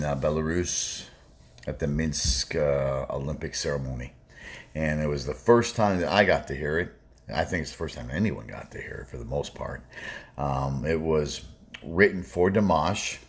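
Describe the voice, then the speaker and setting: A man with an extremely deep baritone hosts a music reaction video. He talks in a loose, rambling way, full of fillers. He keeps stressing his points with emphasis. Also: moderate pace. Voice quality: low baritone voice. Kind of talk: casual reaction commentary.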